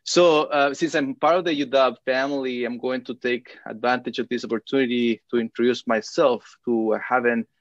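A man in his thirties from Chile, giving an academic lecture with frequent hesitant filler pauses. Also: medium pitch, steady tone, dry recording, dull audio. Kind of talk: academic presentation over video call. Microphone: video call.

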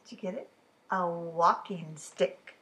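A woman in her fifties reading riddle poems aloud for a children's storytime, expressive and deliberate, with chatty asides to the listeners. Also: fairly low pitch. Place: living room at home, indoors. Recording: clearly noisy room recording.

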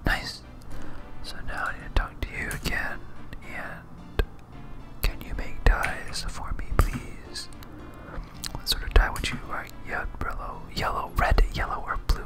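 A gamer speaking in a breathy whisper, giving soft, rambling ASMR commentary while playing a video game. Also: measured pace, over music.

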